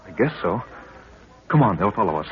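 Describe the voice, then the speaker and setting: A man acting a brisk, brave young adventurer in a 1930s radio serial, clipped and no-nonsense. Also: on the low side, big pitch swings. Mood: tense, brisk. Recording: muffled 1930s radio recording.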